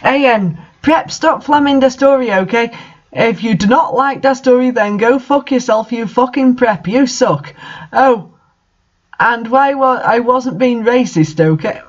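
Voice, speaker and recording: Man putting on a shrill, melodramatic teenage goth girl. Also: a little slow, highs cut off. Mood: petulant. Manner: overwrought, petulant exclaiming.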